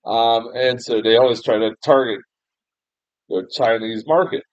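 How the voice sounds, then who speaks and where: A young man from the States rambles through a film review, tripping over his words and laughing at his own mistakes. The sound is dull and muffled, with a dry, close feel and no room around it. His voice sits at an ordinary middle pitch. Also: steady tone, a little slow, no room sound. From United States. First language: English. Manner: rambling, self-deprecating, frequent fillers and restarts, laughs often, mild swearing. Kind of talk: casual spoken film review.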